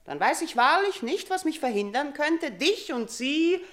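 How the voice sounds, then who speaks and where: A woman's voice: sits high, expressive, unhurried, fairly bright tone. A woman playing a middle-aged queen and mother, haunted and domineering, in a theatrical classical German radio drama, shifting between murmurs and outcries. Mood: haughty, commanding. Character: haunted, imperious queen and mother, uneasy with guilt.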